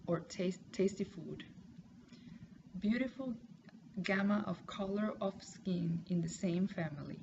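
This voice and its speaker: Gravelly-voiced woman reading. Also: mid-range voice. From Puerto Rico. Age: 30s. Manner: careful, measured reading with pauses. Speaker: student. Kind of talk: reading a class assignment aloud. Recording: lo-fi home recording with noise.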